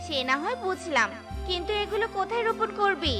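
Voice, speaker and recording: A woman's voice: very high-pitched, animated, fairly quick, over music. A woman voicing a poor, humble and caring mother bird in a cartoon, with an earnest, plaintive manner. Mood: gentle. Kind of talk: cartoon voice acting.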